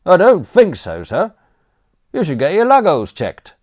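A man's voice: high voice, huge pitch swings, normal speed, a little mellow, voice pushed up, heavily acted. A man voicing a brash, cheerful male butler with a cheeky, slangy way of talking. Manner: breezy, cheeky, working-class slang.

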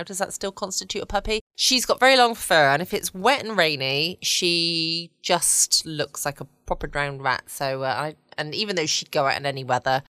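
A woman's voice: fairly low pitch, pushed and bright, clear, resonant tone, dry recording. A British-accented woman chatting casually as host of a bedtime podcast. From United Kingdom. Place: quiet room at home.

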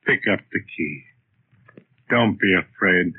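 An actor in a 1940s radio thriller playing a menacing gangster back to haunt his killers, speaking in an ominous, deliberate way. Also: low-pitched, lively intonation, slightly rough, full character voice. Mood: coaxing. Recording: muffled tinny vintage radio recording.

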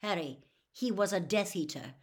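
A woman voicing a troubled adult male wizard who gives earnest, hurried warnings in a storybook reading. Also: medium pitch, expressive, voice pushed up, lightly acted. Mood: urgent.

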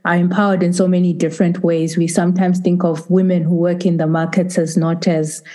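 A woman's voice: lowish voice, little pitch movement, brisk, mellow. A woman hosting a conversational interview podcast, rephrasing herself as she goes in an encouraging way.

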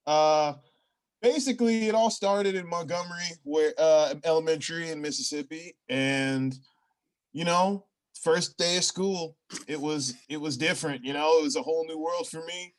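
A man improvising a hapless, rambling stenographer job applicant in a comedy sketch, halting and sheepishly self-justifying. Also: on the high side, natural voice. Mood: rambling, earnest.

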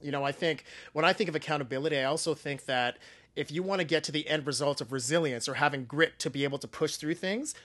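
A man's voice: on the high side, a bit bright. A man co-hosting a podcast, thoughtfully framing a question and restarting his sentences as he goes. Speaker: podcast co-host.

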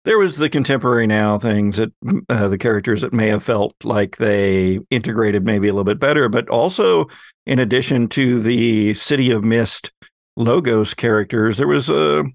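A man's voice: medium pitch, moderate pace, gravelly, dry recording. A man hosting a podcast in a casual, conversational discussion style. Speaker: podcast host.

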